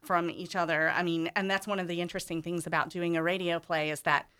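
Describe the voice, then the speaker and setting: A woman's voice: fairly low pitch, level tone, fast, a bit bright, clear, resonant tone. A woman speaking enthusiastically and emphatically as a guest director in a casual interview.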